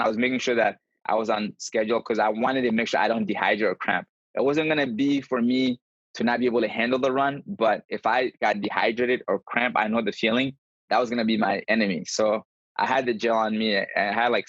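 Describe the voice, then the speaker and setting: A man with a fairly low voice answers interview questions in an earnest, casual way. The sound is a slightly dull video call with very little room in it. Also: steady tone, brisk, fairly bright tone, no echo. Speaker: podcast guest, endurance runner.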